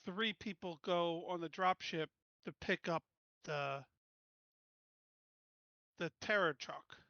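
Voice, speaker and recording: A tabletop game player thinking out loud, stammering and restarting mid-sentence. The voice is bright and forward, in a middle pitch. The recording sounds dry and slightly dull. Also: slow, no echo. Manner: plans aloud, stammers on restarts. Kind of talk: casual tabletop game planning.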